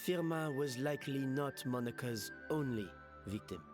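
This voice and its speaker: An actor playing a grave, measured man in a video game, explaining things carefully. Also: mid-range voice, animated, over music, lightly acted.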